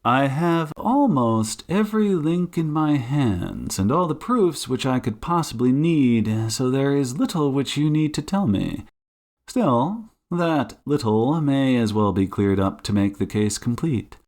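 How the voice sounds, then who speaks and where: A man playing a shrewd, commanding detective in an audiobook, suave and mocking, with deliberate, condescendingly polite delivery. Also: mid-range voice, expressive, a little mellow, close to an everyday voice. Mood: stern, accusing. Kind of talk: audiobook character acting.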